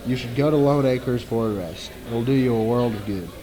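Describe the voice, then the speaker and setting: A man playing a skeptical, exasperated friend in an old-time radio drama, briskly dismissive and reassuring. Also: mid-range voice, dark tone, soft background music, natural voice, low-quality recording. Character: skeptical, exasperated male friend of a frantic woman.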